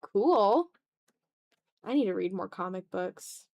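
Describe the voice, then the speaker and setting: A woman's voice: mid-range voice, expressive, slow pace, vocal fry, muffled recording. A woman hosting a casual art livestream, thinking aloud in a self-deprecating way as she draws. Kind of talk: casual chat while drawing on a livestream.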